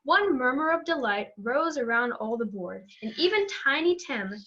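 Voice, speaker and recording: A young female student narrates a classic story from a script. Her voice is fairly high with very varied intonation, and the recording sounds slightly muffled and lo-fi. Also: expressive, moderate pace, fairly bright tone. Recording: dull lo-fi recording.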